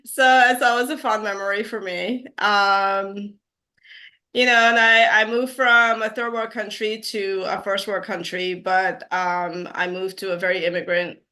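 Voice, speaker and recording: A woman's voice: medium pitch, slow, bright, forceful tone. A woman in her forties from Guyana, a nurse practitioner telling her story as an interview guest, with many fillers and easy laughs. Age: 40s. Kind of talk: interview conversation.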